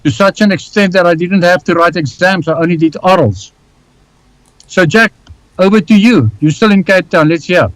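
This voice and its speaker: An older man with a South African accent, co-hosting over a video call in a wry, brisk way. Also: high-pitched, animated, dry recording, rough recording. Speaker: co-host.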